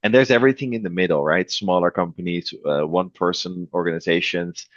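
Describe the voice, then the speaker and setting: A man from the Netherlands with a Dutch accent, talking as a podcast guest, relaxed with many fillers and restarts. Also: sits low, brisk, dry recording, highs cut off. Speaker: guest, revenue executive. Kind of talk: podcast interview.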